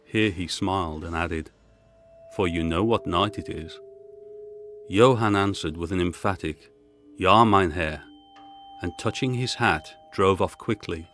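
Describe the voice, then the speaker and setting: A man's voice: low voice, measured pace, a bit bright. A man reading a Gothic short story as a scripted audiobook narration, with music behind him.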